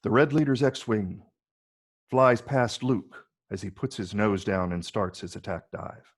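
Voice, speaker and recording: Brief: male narrator for an action screenplay, lowish pitch, husky and creaky, reads stage directions. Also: unhurried. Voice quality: gravelly, husky.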